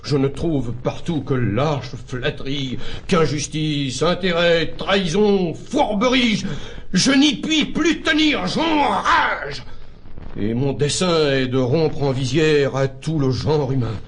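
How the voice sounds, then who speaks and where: An actor playing an indignant, uncompromising hater of humankind, declaiming classical French verse with vehement emphasis. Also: male voice, on the high side, animated, takes it slowly, a bit bright, a little hiss or hum, exaggerated voice. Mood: bitter, enraged. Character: indignant, uncompromising man who hates all humankind.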